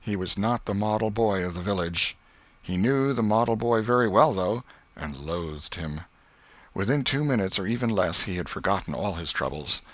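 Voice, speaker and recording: A man reading literary narration as an audiobook narrator, in an expressive, measured storytelling manner. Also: fairly low pitch, no echo.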